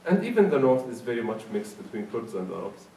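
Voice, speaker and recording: A man from Iraq with an Iraqi accent gives an explanatory public talk in an emphatic, halting way, often repeating words. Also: mid-range voice, big pitch swings, mellow. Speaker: speaker at a public forum. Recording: roomy, distant mic with faint noise.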